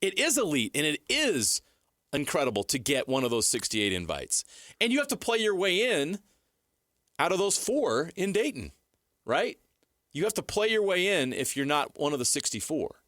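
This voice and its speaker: Emphatic, animated male sports debater. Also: a little high, normal speed, dry recording, studio quality. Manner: opinionated, emphatic, argumentative. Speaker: sports radio co-host.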